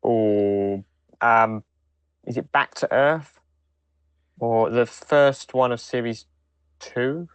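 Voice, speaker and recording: A man co-hosting a podcast, talking casually in a hesitant, halting way with repeated starts. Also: mid-range voice, smooth, no echo. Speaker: podcast co-host. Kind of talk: casual chat.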